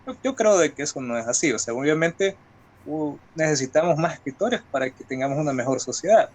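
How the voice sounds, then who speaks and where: A man with a Salvadoran accent talks as a writer being interviewed. His voice sits a little higher than average. He is colloquial and reflective, building his points from examples. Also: lively intonation, fairly quick, a little mellow. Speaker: guest writer. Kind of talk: conversational interview talk.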